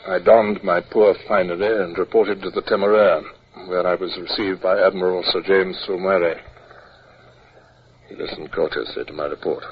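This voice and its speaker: An actor playing a stiff, dutiful British naval captain, formal and halting, in 1950s radio drama style. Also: male voice, deep voice, steady tone. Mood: sombre narration. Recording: dull, noisy 1950s radio recording.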